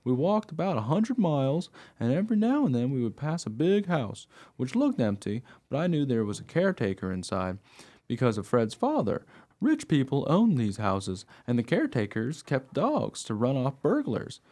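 Man playing an eager mutt dog, lively storyteller. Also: mid-range voice, moderate pace, natural voice. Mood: wry, reflective. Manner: earnest, excitable, naive storytelling. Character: eager, chatty, friendly male mutt dog narrating his life. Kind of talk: scripted radio play narration.

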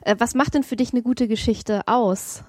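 A woman interviews a guest in a conversational way. Her voice is slightly high, and the recording is dry and close. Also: steady tone, normal speed, a little mellow, dry recording. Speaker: interviewer, host.

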